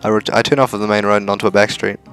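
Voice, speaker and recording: A man's voice: lowish voice, little pitch movement, very fast, fairly bright tone. A man reading a visual novel aloud as narration for a gaming video. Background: faint music.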